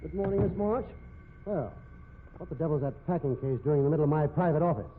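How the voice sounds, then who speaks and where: An actor playing an irascible, blustering company president, gruff and impatient, in a 1950s radio drama. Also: male voice, fairly high pitch, lively intonation, fast. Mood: irritated, blustering. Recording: tinny, hissy 1950s radio recording.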